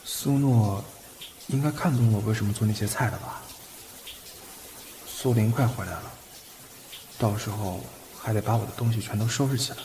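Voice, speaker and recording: A man's voice: fairly low pitch, normal speed, long pauses, noisy background, lightly acted. A man acting a bashful, cheeky young man who stammers and gets flustered with his older boyfriend in a Chinese audio drama. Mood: musing. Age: young adult. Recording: loud background music.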